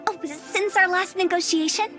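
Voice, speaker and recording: Super high chirpy young woman funeral director, mischievous game character, playful salesy talk, bit of music behind. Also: fairly even intonation, normal speed, sharp tone. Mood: eager, persuasive. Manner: theatrical, playful sales patter. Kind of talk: video game character acting.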